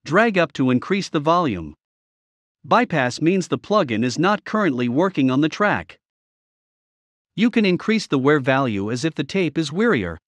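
A man narrating a step-by-step software tutorial in a plain, measured instructional manner. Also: on the high side, no echo.

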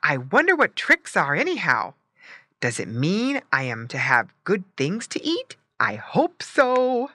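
A woman voicing an excitable, squealing, comical little boy pig in a storybook. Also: lowish voice, unhurried, dry recording, clean studio sound, heavily acted. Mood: eager. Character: comical, eager little boy piglet who loves food.

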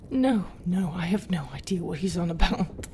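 Casting: actress as a flustered, soft-hearted lady pirate captain for intimate audio roleplay; unhurried, hesitant, half-whispered, over noticeable ambience. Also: lowish voice, slight background noise. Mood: flustered, awkward. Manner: hesitant, halting, often breathy half-whisper. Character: conflicted, flustered female pirate captain, gruff but tender. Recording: steady ambient sound effects behind voice.

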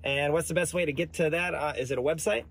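A man's voice: fairly high pitch, fairly even intonation, fast. A middle-aged man hosting a casual on-location interview outdoors on a farm. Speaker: video host interviewing a grower. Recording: outdoor noise behind the voice.

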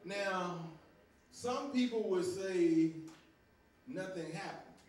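Preacher in an echoey sanctuary. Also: male voice, sits high, slow pace, a little mellow, recorded from a distance. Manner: call-and-response preaching, storytelling, folksy. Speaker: guest preacher. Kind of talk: sermon with sung opening. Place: large church sanctuary. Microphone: stand mic at pulpit, far camera. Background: organ music.

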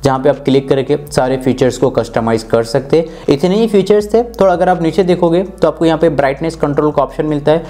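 A man's voice: fairly high pitch, brisk, hardly any pauses, warm tone. A man in his twenties with an Indian accent, explaining phone features step by step as a tech review host. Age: 20s. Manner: instructional, step-by-step. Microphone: clip-on lapel mic.

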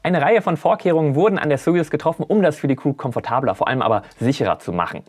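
A man's voice: fairly high pitch, lively intonation, fast talker, breathy edge. A man, hosting a spaceflight explainer in a chatty way, addressing viewers directly with casual asides. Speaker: host of a spaceflight explainer channel. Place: small indoor studio set. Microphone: lapel mic.

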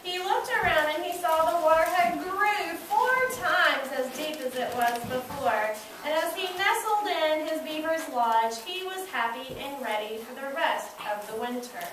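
High-voiced woman storyteller in an echoey room. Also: expressive. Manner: deliberate, repeats words for emphasis. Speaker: narrator of a school puppet show, prompting child puppeteers. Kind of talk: storytelling narration for children. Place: classroom, recorded from the audience side. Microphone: distant camera microphone. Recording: echoey room, far mic, noisy.

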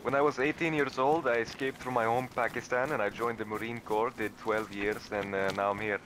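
A man bantering with deadpan jokes and crude language in casual game chat. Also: mid-range voice, brisk, noisy background. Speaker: gamer, clan member.

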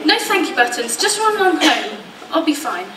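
A woman playing a gentle, downtrodden young heroine in a stage pantomime. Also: high-pitched, big pitch swings, normal speed, slight background noise, full character voice. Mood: polite, puzzled. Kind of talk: theatrical pantomime acting. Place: large hall stage, indoors. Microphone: far camera. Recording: echoey stage hall from far off.

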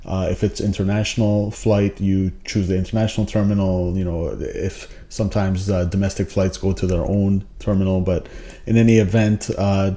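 Casting: male tutorial host, low pitch, dark relaxed tone, casual explanatory delivery.

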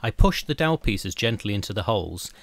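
A middle-aged man calmly narrating a scripted woodworking how-to, step by step, as the channel's host. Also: lowish voice, a little fast, a little hiss or hum. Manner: calm, methodical step-by-step explanation.

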